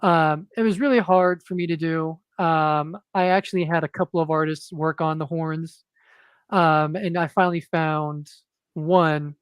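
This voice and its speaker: Hesitant monotone man, dry sound. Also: fairly high pitch, measured pace, clear, resonant tone, no echo. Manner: hesitant, many fillers and restarts. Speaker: guest comic book creator. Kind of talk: casual interview chat.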